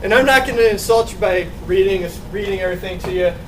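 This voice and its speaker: Energetic man presenting slides. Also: high voice, fast. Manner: energetic, repetitive emphasis. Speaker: student presenter. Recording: distant, with faint noise.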